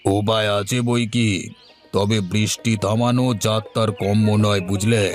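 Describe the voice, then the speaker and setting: A man's voice: lowish voice, flat delivery, unhurried, soft background music, close to an everyday voice. A man voicing a boastful, money-minded village charm-seller in a cartoon, emphatic and argumentative. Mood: boastful, wheedling. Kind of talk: cartoon dialogue.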